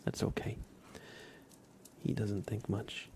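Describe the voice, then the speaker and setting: Whispering man, slow and gravelly. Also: fairly low pitch, completely flat delivery. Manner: gentle, unhurried, addressing chat directly. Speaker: livestream host. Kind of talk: ASMR livestream, whispered and soft-spoken.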